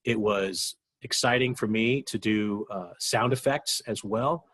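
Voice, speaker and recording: A man gives a reflective interview about producing. His voice is lowish and very husky, recorded dry. He speaks earnestly, pausing to search for words. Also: a bit bright, no echo. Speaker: interviewee, radio drama executive producer.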